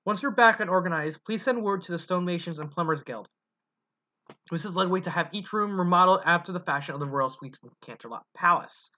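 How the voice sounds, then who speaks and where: A reader voicing a calm, gracious pony princess who speaks softly and with composure. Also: medium pitch, brisk, close to an everyday voice. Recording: dull lo-fi recording.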